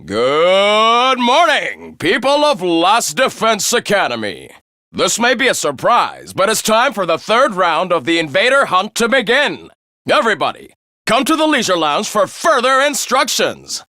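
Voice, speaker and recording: A man's voice: high-pitched, sharp tone, dry recording, clean studio sound, exaggerated voice. A man voicing a smug, theatrical young male student in a video game, mock-polite and taunting. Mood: gleeful announcing. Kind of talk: English video game voice acting.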